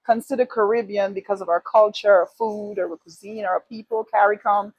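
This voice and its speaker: A woman's voice: mid-range voice, steady tone, moderate pace, dry recording, low-quality recording. A woman in her forties from Guyana with a Guyanese accent, answering interview questions in an earnest, emphatic way. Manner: earnest, emphatic, repeats key phrases. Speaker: guest, esthetician and business owner.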